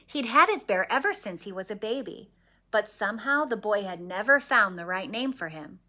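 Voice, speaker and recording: A woman reading a children's picture book aloud expressively, with dramatic pauses. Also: medium pitch, lively intonation, bright, forceful tone. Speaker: story reader.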